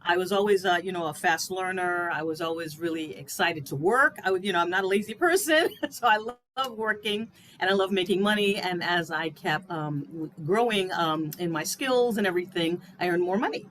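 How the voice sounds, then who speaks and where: A woman's voice: fairly low pitch, a little fast, hardly any pauses, a bit bright. New woman telling her story with a faint hiss. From New York. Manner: earnest, laughs often, many fillers. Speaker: guest, wealth coach. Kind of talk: casual interview storytelling.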